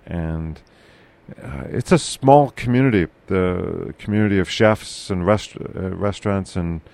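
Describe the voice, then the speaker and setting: A man hosting a casual local podcast chat, with a loud, carrying voice, talking haltingly with many fillers and restarts. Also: deep voice, gravelly. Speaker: podcast host.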